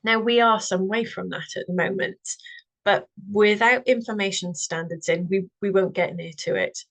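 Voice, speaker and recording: A woman's voice: medium pitch, normal speed, fairly bright tone, rough recording. A woman with a Welsh accent giving a friendly, earnest presentation on a video call, with many fillers and restarts. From Wales. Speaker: webinar speaker. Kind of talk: presentation to online audience.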